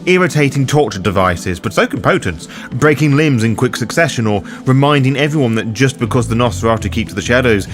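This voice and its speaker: Man narrating with lively, swooping pitch. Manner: wry, casually sweary asides. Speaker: host of a roleplaying game guide. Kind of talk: scripted explainer narration.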